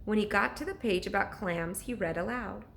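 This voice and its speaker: A woman reading a children's story aloud like a teacher to pupils, with expressive, deliberate narration. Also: mid-range voice, vocal fry, a little hiss or hum.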